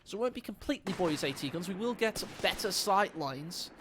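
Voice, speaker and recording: A man's voice: high voice, animated, brisk, noisy background. A man casting a live strategy game match on stream. He jokes and talks directly to his viewers. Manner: playful, jokey, chats to viewers. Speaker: esports caster.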